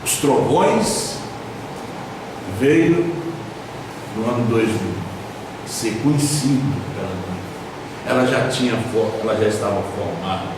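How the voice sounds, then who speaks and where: A Brazilian pastor with a southern Brazilian accent preaching a sermon in a church hall, with sudden loud emphasis and slowly drawn-out stresses. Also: male voice, on the low side, animated, background noise. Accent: southern Brazilian Portuguese. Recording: echoey church hall from far off.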